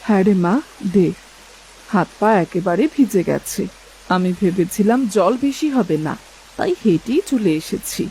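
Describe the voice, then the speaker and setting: A woman voicing a grateful, affectionate middle-aged village mother in a cartoon, speaking gently. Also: medium pitch, unhurried, slight background noise, close to an everyday voice, poor audio. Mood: explaining. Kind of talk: cartoon dialogue.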